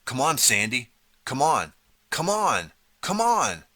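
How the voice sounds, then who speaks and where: A man voicing a frantic teenage boy prankster who shouts in a shrill, trembling voice. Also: wildly swooping pitch, slow, heavily acted. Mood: panicked.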